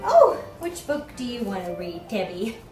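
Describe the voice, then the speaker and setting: A woman playing a bubbly, excitable presenter welcoming children and guests. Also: medium pitch, normal speed, roomy sound, distant mic, music in the background, close to an everyday voice. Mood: bubbly, excited.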